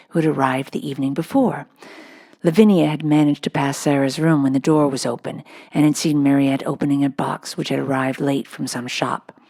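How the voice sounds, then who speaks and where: A woman's voice: low voice, creaky, warm tone, breathy edge. A woman reading a children's storybook aloud as scripted narration. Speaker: audiobook reader.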